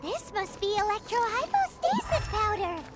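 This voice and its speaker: Squeaky, excitable little fairy sidekick. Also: female voice, normal speed, over music. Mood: chatty, curious. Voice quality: squeaky, childlike. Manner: perky, refers to self in third person. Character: small floating childlike fairy companion, perky, cheeky, excitable. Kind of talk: video game character voice acting.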